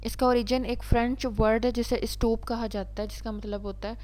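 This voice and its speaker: A woman's voice: on the high side, fairly even intonation, fairly quick, darkish tone. A woman with a Pakistani accent giving an explanatory lecture as a law teacher, restating each point step by step. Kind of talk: explanatory lecture, switching between reading definitions and explaining.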